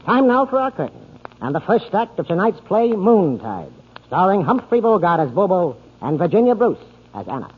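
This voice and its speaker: A man's voice: high-pitched, big pitch swings, moderate pace, husky. A man hosting and narrating a 1940s radio drama in a polished, theatrical announcer style. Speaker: radio show host and narrator. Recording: tinny 1940s radio broadcast recording.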